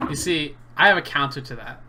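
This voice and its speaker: A man's voice: a little high, animated, a little fast, pushed and bright, slight background noise. A young man hosting a casual, joking anime debate, rambling and restarting as he talks. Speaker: channel host.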